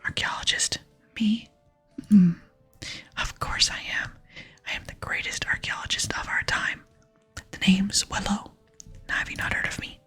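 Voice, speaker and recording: A woman reads the part of a self-important lady archaeologist from a fantasy game, scheming and brisk. The voice is a breathy, bright whisper with soft music behind it. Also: mid-range voice, fairly even intonation. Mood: boastful. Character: boastful, scheming female archaeologist adventurer. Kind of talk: whispered ASMR reading of game dialogue.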